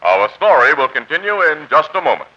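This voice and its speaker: A male radio announcer reads a sales pitch in 1940s style on a muffled recording. His voice is high for a man and lively in its rise and fall. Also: a little fast, music in the background.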